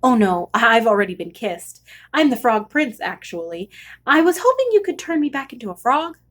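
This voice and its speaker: A woman's voice: a little high, very animated, moderate pace, a bit bright. A woman voicing a hapless, polite storybook prince who pleads and gets flustered. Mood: polite, pleading. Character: hapless, polite, whiny male fairy-tale frog prince.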